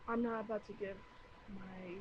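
A woman's voice: medium pitch, steady tone, moderate pace, lo-fi sound, slight background noise. A woman guest in casual chat, rambling with lots of fillers.

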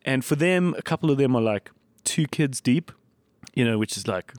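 A middle-aged South African man hosts a casual chat in a close, dry recording. His voice is slightly croaky and sits at a medium pitch. He tells anecdotes in a self-deprecating way. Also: animated, normal speed, dry recording. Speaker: podcast host.

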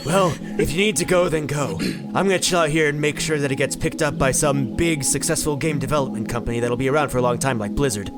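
A man's voice: medium pitch, quick pace, loud music behind the voice, close to an everyday voice. A man voicing a smug, laid-back stoner character in a comedic fan dub. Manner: smug, lazy, sarcastic.

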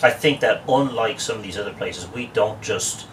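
A man's voice: fairly low pitch, normal speed, fairly bright tone, far from the mic, slight background noise, poor audio. A man in his forties with an Irish Dublin accent, a guest telling stories in a chatty, joking way with frequent restarts. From Ireland. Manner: chatty storyteller, wry jokes, frequent restarts. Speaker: guest, real estate expert. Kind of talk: casual interview chat.

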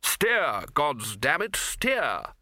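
A man's voice: high-pitched, wildly swooping pitch, moderate pace, sharp tone, no echo, studio quality, voice pushed up, exaggerated voice. A man voicing a domineering, impatient old wizard arch-chancellor with a blustering, demanding manner. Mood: barking.

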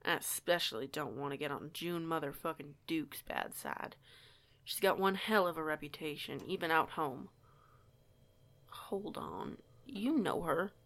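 A woman's voice: low voice, expressive, unhurried, a bit bright, heavily acted. A woman playing a young werewolf outsider in an audio roleplay, stammering, sweary and emphatic. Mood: wary gossip. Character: young female werewolf outsider, flustered, foul-mouthed, fiery.

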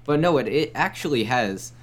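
A young man talking as a guest on a casual podcast, speaking in a measured, thoughtful way. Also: medium pitch, lively intonation. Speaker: guest, anime reviewer. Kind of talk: casual chat.

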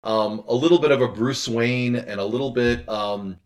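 A man being interviewed as an audio drama creator, talking in a thoughtful, halting, conversational way and circling back over his phrases. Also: mid-range voice, steady tone.

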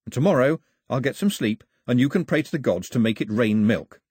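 A man's voice: medium pitch, expressive, a little fast, darkish tone, husky, no room sound. A man voicing a stubborn, irreverent young island man in a storybook, dry, firm and defiant. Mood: wry.

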